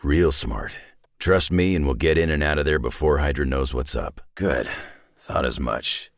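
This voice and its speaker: A man voicing a gruff, weary middle-aged superhero brawler for a video game, with a deep raspy growl and terse, dry, folksy tough-guy talk. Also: normal speed, no room sound. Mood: dry, reflective. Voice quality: deep gravelly rasp.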